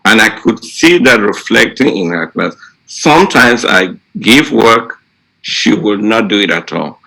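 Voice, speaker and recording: Slow, gravelly low-voiced man. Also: lively intonation, pushed and bright, rough recording. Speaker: teacher. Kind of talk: unscripted storytelling to colleagues.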